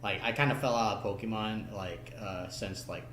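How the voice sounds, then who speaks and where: A man chatting casually on a podcast about cartoons and games, halting and peppering his talk with "like". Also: on the low side, fairly even intonation, fairly bright tone. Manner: halting, many "like" fillers. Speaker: podcast guest or co-host. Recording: roomy echo, noisy background.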